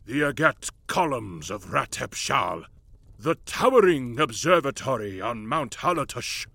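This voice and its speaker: A man voicing a gruff, bitter old lord who speaks slowly with a husky growl. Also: medium pitch, big pitch swings, pushed and bright, dry recording, voice pushed up, full character voice. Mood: snarling. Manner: slow, growling delivery.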